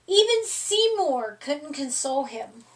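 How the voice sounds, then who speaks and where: A woman reading a children's picture book aloud as expressive storytime narration, recorded a little way from the microphone. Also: high voice, slow pace, distant mic. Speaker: storytime reader.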